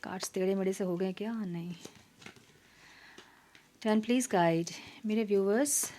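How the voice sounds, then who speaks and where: A woman hosting a tarot reading, slightly hoarse, talking casually and dropping to near-whispers at times. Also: medium pitch, moderate pace, slight background noise. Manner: soft, mixing Hindi and English, drops to near-whispers while shuffling. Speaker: tarot reader.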